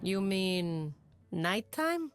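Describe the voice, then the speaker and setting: A woman's voice: mid-range voice, very animated, drawn-out words, dry recording, faint music behind. A woman voicing a fierce, protective huntress and pack mother in a video game, dry and commanding. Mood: dry, unimpressed.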